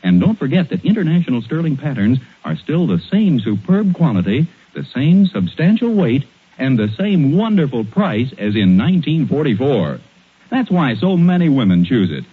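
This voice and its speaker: American male announcer, 1940s radio commercial style, husky, sweeping intonation, emphatic, tinny recording. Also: medium pitch.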